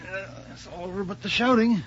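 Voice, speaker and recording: An actor playing a greedy, cynical lover and co-conspirator in a 1980s radio drama, with a wry delivery. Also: male voice, high voice, fairly even intonation, moderate pace, fairly bright tone. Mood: wry, callous. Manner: wry, sardonic. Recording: muffled, faintly noisy 1980s radio recording.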